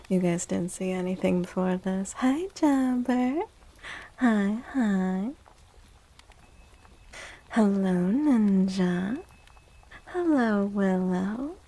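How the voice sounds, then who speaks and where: A soft-spoken woman hosting a casual ASMR livestream, chatting in a breathy, gentle voice. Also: mid-range voice. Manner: soft-spoken, greeting and thanking viewers casually. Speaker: livestream host.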